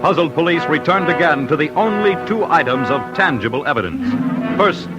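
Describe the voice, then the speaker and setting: A man's voice: fairly high pitch, lively intonation, a little fast, highs cut off. An American man narrating an old radio crime show in punchy, suspenseful phrases. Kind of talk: dramatic 1940s-50s radio crime narration. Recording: old radio broadcast with music underneath.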